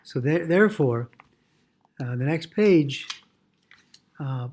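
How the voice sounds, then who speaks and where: A man teaching a Torah lesson as a rabbi, in a halting way, repeating phrases and explaining Hebrew terms in short pieces. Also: a little high, animated, darkish tone.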